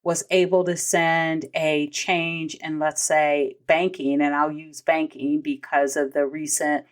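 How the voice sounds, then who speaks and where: A woman's voice: low voice, little pitch movement, slow. An American woman hosting an instructional podcast, explaining in a conversational way with emphasis on key points and casual asides. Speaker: podcast host, vendor payments trainer.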